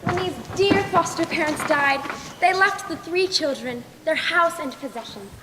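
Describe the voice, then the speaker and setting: Stage storyteller queen, played by a young woman: formal, measured, high-pitched, heard in a reverberant hall. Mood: narrating calmly. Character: Scheherazade, composed clever queen telling stories to a sultan. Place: school stage play in a large hall. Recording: echoey hall, clear background noise.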